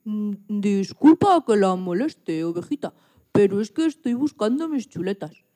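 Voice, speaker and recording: A woman's voice: medium pitch, expressive, a little slow, mellow, close to an everyday voice. A woman voicing a small, anxious and polite piglet in a children's radio play, exclaiming and muttering questions to itself. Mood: polite, hopeful. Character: small anxious, polite young piglet searching for lost chops.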